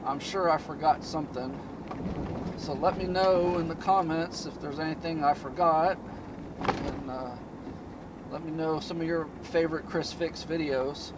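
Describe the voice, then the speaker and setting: Monotone man talking while driving. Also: unhurried, noisy background. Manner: monotone, low-key. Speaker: DIY car-repair channel host. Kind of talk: casual vlog talk while driving.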